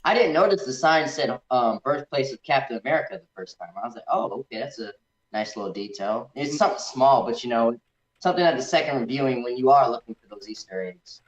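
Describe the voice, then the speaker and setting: Dull, roomy lo-fi audio carries a man with a medium-pitched voice. He talks as a guest film commentator, casual and colloquial, chatting through his opinions. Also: lively intonation, a little fast. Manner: informal, colloquial. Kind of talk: casual chatty movie discussion. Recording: echoey room, dull lo-fi audio.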